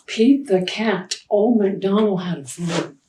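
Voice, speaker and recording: A woman reading aloud and talking to young children as a storytime host, expressive and prompting them with simple questions. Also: mid-range voice, measured pace, background noise. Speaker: children's librarian hosting storytime. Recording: roomy echo, distant microphone.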